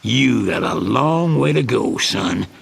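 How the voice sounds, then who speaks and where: An actor playing a crotchety old garage owner in a video game, gruff and scornful, with a folksy way of talking. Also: male voice, mid-range voice, expressive, slight background noise, heavily acted. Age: older. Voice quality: gravelly, husky. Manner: slow, gruff, folksy drawl.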